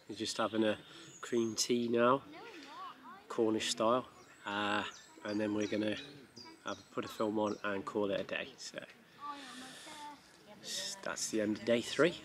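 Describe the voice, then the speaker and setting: A man in his thirties talking casually to a handheld camera while camping, relaxed and chatty with plenty of ums. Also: lowish voice. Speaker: family vlogger. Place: outdoors on a campsite field. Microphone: camera at arm's length. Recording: noisy outdoor recording.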